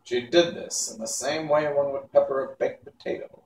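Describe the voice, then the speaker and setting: A man's voice: medium pitch, steady tone, moderate pace. A man reading a children's novel aloud as narrator, in an unpolished amateur way. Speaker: storybook reader. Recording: echoey room, distant mic, faint hiss.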